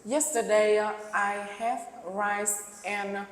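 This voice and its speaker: A young woman from Vietnam, a student speaking English with a Vietnamese accent, who hesitates and pauses with um and uh. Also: medium pitch, fairly even intonation. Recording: echoey hall.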